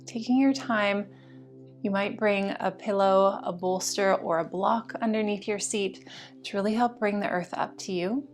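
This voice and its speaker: A woman leads a restorative yoga practice as a teacher. Her phrasing is soothing and deliberate, at a medium pitch, with music playing behind her voice. Each instruction is given slowly, in the manner of a guided meditation. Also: fairly even intonation.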